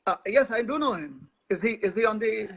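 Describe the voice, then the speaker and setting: A man, speaking as a guest in a live interview, pausing often with filler sounds. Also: sits high, expressive, vocal fry, fairly bright tone. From India. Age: 40s. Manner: hesitant, many filler sounds.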